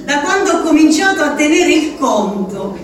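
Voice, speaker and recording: An actress playing a plaintive, stubborn country woman in an asylum, reciting letters in a drawn-out sing-song for a radio drama. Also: high-pitched, very animated, crowd noise. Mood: sing-song, spiteful. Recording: echoey, distant, clearly noisy.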